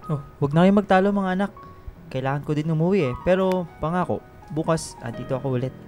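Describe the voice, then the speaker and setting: An actor plays a kindly father figure in a radio drama. He is gentle and affectionate with a fatherly way of talking. Faint music sits behind the voice. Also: a little high, fast talker, natural voice.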